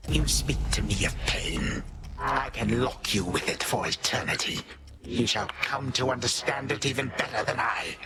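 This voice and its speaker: A man's voice: medium pitch, moderate pace, heavy background noise, natural voice. An actor playing an ancient titan tyrant in a video game, pompous and sardonic, speaking in formal archaic phrases with menacing grandfatherly condescension. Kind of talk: theatrical video game villain acting. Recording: studio voice over game music.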